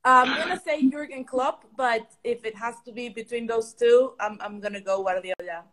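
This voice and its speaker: Guest: woman from Ecuador, football consultant; measured, hesitant delivery with restarts. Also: fairly high pitch, fairly bright tone, rough recording. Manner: thoughtful, many ums and restarts. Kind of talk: interview answers.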